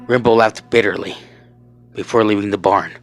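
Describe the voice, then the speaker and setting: A man's voice: on the low side, fairly even intonation, moderate pace. A man reading scripted fan fiction as a story narrator, with music playing behind him.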